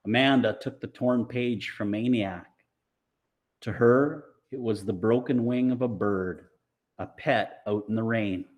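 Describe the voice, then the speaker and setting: Guy reading an audiobook, takes his time, dramatic and deliberate, medium pitch. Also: a little mellow. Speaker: audiobook reader. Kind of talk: expressive scripted storytelling.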